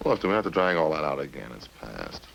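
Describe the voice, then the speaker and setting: A man's voice: extremely low pitch, very animated, fast talker, gravelly, a bit bright, full character voice, rough recording. An actor playing an evasive male ex-convict suspect in a 1950s radio crime drama, full of indignant, slangy denials. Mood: cornered, defensive. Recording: hissy old-time radio recording.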